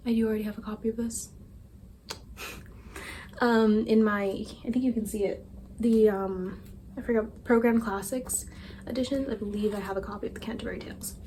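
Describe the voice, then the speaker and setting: A woman's voice: on the high side, fairly even intonation, a little fast, long pauses, warm tone, slight background noise. A young woman chatting casually and rambling a little, self-deprecating, as a book vlogger. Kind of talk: casual chat.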